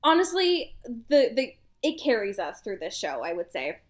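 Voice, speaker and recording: A woman co-hosting a podcast in casual chat, giggling often and trailing off softly at the ends of sentences. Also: mid-range voice, sing-song, normal speed. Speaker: podcast co-host.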